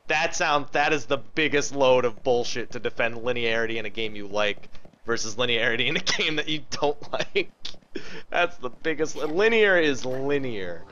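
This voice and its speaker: A man's voice: mid-range voice, animated, normal speed, sharp tone, dull audio, a little hiss or hum. A man giving casual live video game commentary, thinking aloud and swearing as he chats with viewers. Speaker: livestream host playing a video game. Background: game music.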